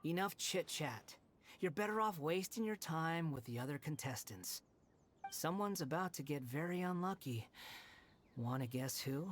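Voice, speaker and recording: A man's voice: a little high, animated, moderate pace, slight background noise, lightly acted. A man playing an arrogant, irritable young wanderer in an anime game, speaking in a dismissive, sardonic way. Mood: smug, menacing.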